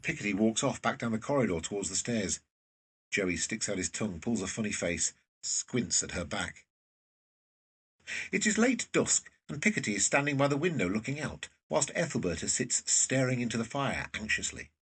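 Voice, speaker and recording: A narrator with a very husky low voice reading scripted stage action for an audio drama, slightly distant from the mic. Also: sing-song, normal speed, fairly bright tone.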